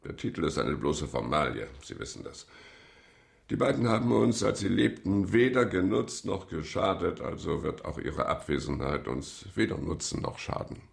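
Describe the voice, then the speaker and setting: A man's voice: sits low, very animated, normal speed, creaky, exaggerated voice. A man playing a condescending, dismissive police president with a pompous, officious manner in a radio crime drama. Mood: smoothly condescending.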